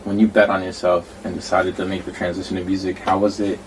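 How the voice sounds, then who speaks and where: A man's voice: deep voice, little pitch movement, fast talker. A man interviewing a musician, asking laid-back, slangy, rambling questions. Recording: distant mic with faint background noise.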